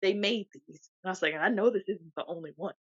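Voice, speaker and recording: A woman's voice: mid-range voice, fast, fairly bright tone, slightly rough, dry recording. A woman co-hosting a podcast, chatting casually and laughing often as she tells stories. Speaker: podcast co-host. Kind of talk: casual chat. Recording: dull lo-fi sound.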